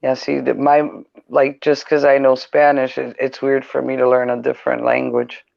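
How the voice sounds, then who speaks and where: A man's voice: mid-range voice, monotone, normal speed, creaky, darkish tone, dry recording. A man hosting a casual live-stream chat, laughing often and teasing his callers. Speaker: stream host. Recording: dull lo-fi sound.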